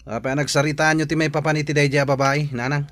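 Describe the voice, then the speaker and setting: A man's voice: a little high, little pitch movement, quick pace, fairly bright tone, dry recording, close to an everyday voice. A man playing a grown son questioning his mother in a melodramatic audio drama. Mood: questioning, troubled. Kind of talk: melodramatic Ilocano radio drama acting.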